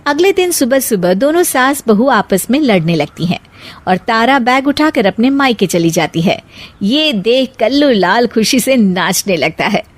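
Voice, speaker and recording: A woman's voice: a little high, no echo. A woman narrating a scripted comic family story as a storyteller.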